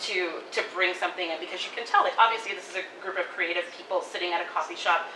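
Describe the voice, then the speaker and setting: A woman giving spoken feedback at a live table reading, talking in a chatty, animated way full of "like". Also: lowish voice. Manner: animated, fast, many "like" fillers, laughing. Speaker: audience member giving feedback. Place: coffee shop event room. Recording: echoey room, far from the mic, faint noise.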